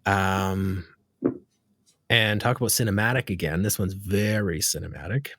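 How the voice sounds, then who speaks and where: A man's voice: low voice, steady tone, unhurried, creaky, a bit bright, dry recording, studio quality. A man hosting a casual interview, asking long, rambling questions with fillers. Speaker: podcast host.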